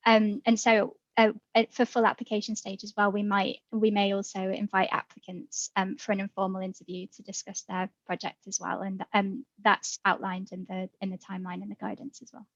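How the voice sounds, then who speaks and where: A woman's voice: medium pitch, flat delivery, brisk, few pauses, dry recording. Woman on a work call going through the process, plain n factual, muffled dry sound. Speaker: grant programme staff member. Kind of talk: procedural explanation on an online information call. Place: online call. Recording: muffled, dull online call audio.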